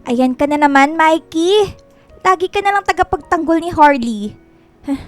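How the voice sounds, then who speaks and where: Woman doing a catty filipino office lady, really high voice, always blaming, radio drama style. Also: lively intonation, moderate pace, faint music behind. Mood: snide, accusing. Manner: needling, accusatory. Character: snide, fault-finding female office superior.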